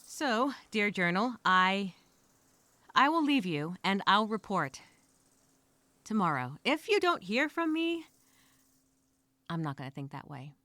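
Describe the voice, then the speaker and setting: A woman's voice: mid-range voice, sing-song, slow pace, pauses often, bright, forceful tone, no echo, full character voice. A woman voicing an anxious, rambling castaway who narrates a story as a dramatic, theatrical first-person journal. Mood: paranoid, uneasy. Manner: theatrical, overthinking, repeats herself, self-questioning.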